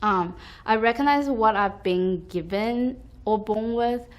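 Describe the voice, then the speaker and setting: A woman's voice: a little high, takes it slowly. A young woman from Taiwan, a school staff member, reflecting on her identity in a hesitant, thoughtful way with many fillers. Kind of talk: reflective personal testimony.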